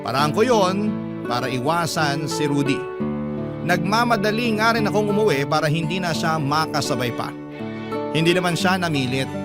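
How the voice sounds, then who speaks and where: A lovesick young cashier's confession, read by a man with a Filipino accent. The voice is fairly high for a man, telling the story in the first person as radio drama, with loud guitar music behind it. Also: lightly acted. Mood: regretful. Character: lovesick, guilt-ridden young cashier narrating a confession letter. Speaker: narrator reading a letter sender's story.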